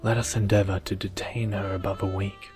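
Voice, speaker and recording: A man reading a jealous, scheming elder sister from a storybook in a hushed, conspiratorial manner. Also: on the low side, little pitch movement, fairly quick, over music, lightly acted. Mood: sly envy.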